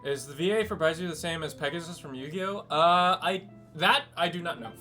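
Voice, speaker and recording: A man's voice: a little high, brisk, pushed and bright, faint music behind. A man casually commentating on a game livestream, joking and talking back to the characters. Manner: jokey, talks back to the game. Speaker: game streamer.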